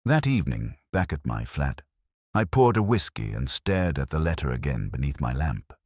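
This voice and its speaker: A man narrating a detective story in the first person in a measured, reflective, literary manner. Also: rumbling low, big pitch swings, darkish tone, dry recording, clean studio sound.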